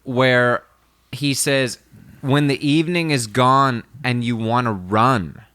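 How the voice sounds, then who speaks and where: A man's voice: medium pitch, slow, sharp tone, smooth, no room sound, clean studio sound. A man with a nasal voice co-hosting a podcast, chatting casually in a joking, sweary manner. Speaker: podcast host. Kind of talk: casual banter.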